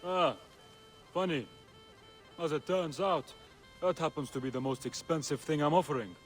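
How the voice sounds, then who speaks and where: An actor playing a wry, cagey merchant and informant in a video game, with a sardonic, bargaining manner. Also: male voice, fairly high pitch, moderate pace, soft background music, natural voice. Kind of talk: video game cutscene acting.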